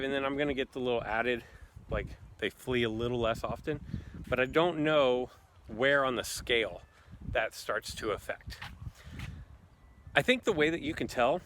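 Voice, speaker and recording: A man's voice: mid-range voice, a bit bright. A man giving casual, playfully boastful commentary on a mobile game while walking in a park. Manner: playful, boastful trash talk. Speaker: gaming YouTuber.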